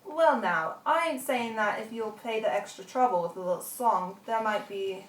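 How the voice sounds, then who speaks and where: A woman's voice: mid-range voice, moderate pace, recorded from a distance, slight background noise, close to an everyday voice. A woman as a grouchy ship's cook, echoey. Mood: grumbling. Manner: salty sailor dialect. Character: grouchy male ship's cook Alfred, scolding but soft-hearted. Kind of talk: amateur radio drama acting. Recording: echoey room, off mic.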